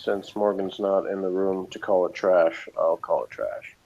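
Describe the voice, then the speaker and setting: Muffled, low-pitched man with dry sarcasm. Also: lively intonation, measured pace, vocal fry, crowd noise. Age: 30s. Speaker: podcast co-host, reviewer. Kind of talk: casual review chat. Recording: muffled lo-fi line with noise.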